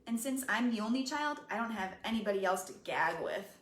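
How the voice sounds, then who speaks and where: A woman reading the part of a spirited, caring teenage girlfriend, teasing and unhurried, in an echoey room. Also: medium pitch, animated, a little hiss or hum, close to an everyday voice. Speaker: reader.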